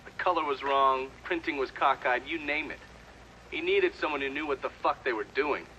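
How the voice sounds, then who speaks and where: An actor playing a shrewd, sardonic intelligence operative in a video game, dry and matter-of-fact. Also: male voice, medium pitch, normal speed, sharp tone, highs cut off, a little hiss or hum, lightly acted. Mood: matter-of-fact recounting. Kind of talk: cinematic game dialogue, part recounted testimony.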